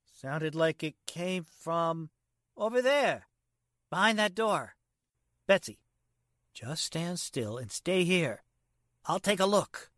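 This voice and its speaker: A man's voice: on the high side, lively intonation, takes it slowly, long pauses, fairly bright tone, no echo. A man playing a brash, teasing teenage boyfriend with cocky, slangy speech, in the style of 1940s radio drama. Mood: wary. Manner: slangy, cocky, casual grammar. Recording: noisy recording with rain.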